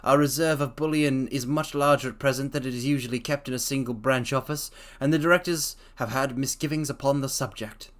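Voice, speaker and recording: Man voicing a solemn fussy bank director, hushed, formal, slightly raised pitch. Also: steady tone, brisk, few pauses, lightly acted.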